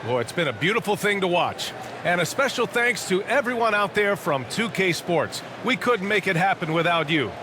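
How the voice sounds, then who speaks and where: Upbeat hoops announcer, highish voice, loud arena noise behind, video game. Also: male voice, animated, normal speed. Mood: warmly celebratory. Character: upbeat male basketball play-by-play announcer. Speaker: basketball broadcast announcer. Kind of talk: video game sports broadcast sign-off. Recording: noisy arena background.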